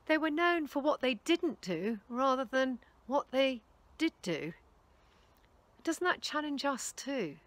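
A woman in her fifties gives an earnest, measured sermon, leaning into key words. Her voice sits a little high. She speaks into a lapel mic outdoors, and the sound is dry and close. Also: sing-song, fairly bright tone, no room sound. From England. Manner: earnest, measured preaching with rising emphasis. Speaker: charity volunteer speaker. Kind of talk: recorded sermon talk. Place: outdoors in a leafy garden.

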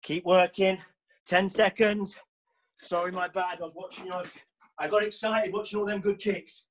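A karate instructor calls out drills and shouts encouragement to students on a video class. He trains alongside them in a small brick-walled gym, heard from a camera across the room. A faint hiss sits under his voice. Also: high-pitched, flat delivery, normal speed. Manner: barking encouragement and commands while training. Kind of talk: online class coaching.

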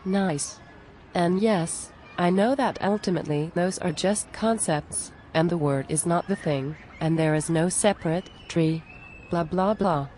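A woman's voice: on the low side, dark tone, a little hiss or hum, natural voice. A woman playing a down-to-earth, exasperated friend in a scripted cartoon dialogue, plain-spoken and conversational.

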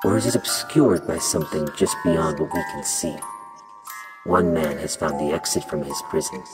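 A man narrating an audio drama in a measured, solemn way. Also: a bass voice. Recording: narration over clear music.

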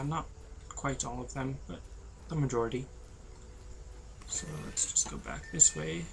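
A tired young man, losing his voice, giving low-energy, rambling commentary over a video game. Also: gravelly, noisy background. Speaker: gameplay commentator. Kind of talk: casual let's-play commentary.

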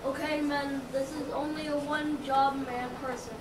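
A puppeteer improvising a children's puppet show with halting, simple phrasing. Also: sits high, little pitch movement. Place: indoor room, behind puppet stage. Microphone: far camera. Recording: very noisy, echoey, distant microphone.

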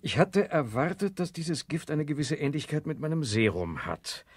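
A man playing a thoughtful science professor with a formal, deliberate manner in a children's sci-fi radio play. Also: a little high, animated, husky, no room sound, studio quality.

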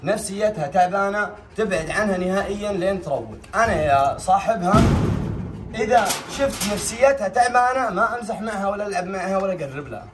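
Young man, Saudi accent, slightly high voice, emphatic casual explainer, echoey bare room. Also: lively intonation, fast talker, distant mic, noisy background. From Saudi Arabia. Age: young adult. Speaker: big cat owner and vlogger. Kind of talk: casual explanatory vlog talk. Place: small bare tiled enclosure. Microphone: camera a few steps away.

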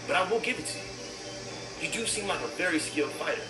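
A man's voice: high-pitched, lively intonation, fast talker. A man playing a smug, condescending video game final boss in a parody sketch, taunting and self-assured. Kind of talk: comedy sketch parody of game villain dialogue. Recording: roomy, loud music over voice.

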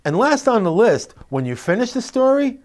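A middle-aged man presenting instructional tips to parents in an expressive, emphatic, clearly phrased way. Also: very high-pitched, moderate pace, heavy background noise. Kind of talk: instructional narration to parents, with expressive read-aloud demonstrations. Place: indoor classroom. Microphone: lapel mic.

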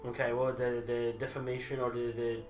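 A man's voice: medium pitch, a little fast, soft background music. A man in his thirties whose first language is Italian, arguing in a casual debate with lots of restarts. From grew up overseas, Italian at home. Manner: animated, gestures a lot, many restarts. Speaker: debater for the Harry Potter side. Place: small indoor room full of movie memorabilia. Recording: echoey room.

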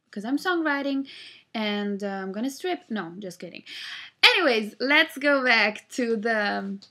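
A woman's voice: medium pitch, a bit bright. Chatty young woman, native Italian speaker. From Switzerland. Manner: chatty, explaining step by step with many fillers. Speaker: singer-songwriter. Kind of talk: casual vlog with screen walkthrough. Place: indoor room, webcam video.

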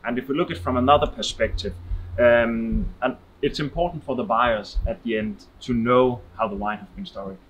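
A man, answering as an expert in a promotional interview, explanatory and slightly halting. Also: mid-range voice, a bit bright, breathy edge, muffled recording. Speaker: wine investment expert. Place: large indoor warehouse, walking.

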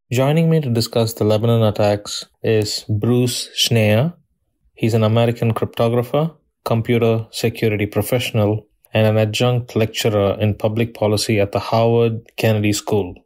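A man's voice: mid-range voice, darkish tone. A man reading a scripted podcast introduction as its host.

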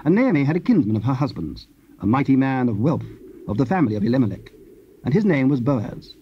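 A man's voice: mid-range voice, big pitch swings, quick pace, a little hiss or hum. A man giving solemn, scripted narration of scripture. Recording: dull, lo-fi old cassette.

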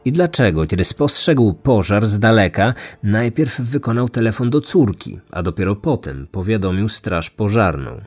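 A man's voice: lowish voice, sing-song, normal speed, hardly any pauses, darkish tone. A man narrating a scripted true crime story as the host of a podcast. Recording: music behind the voice. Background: background music.

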